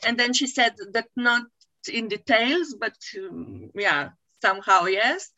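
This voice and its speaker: A woman coachee, whose first language is not English, speaking hesitantly with many pauses and uhs. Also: a little high, expressive, bright, forceful tone, dry recording, poor audio. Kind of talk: mentoring session.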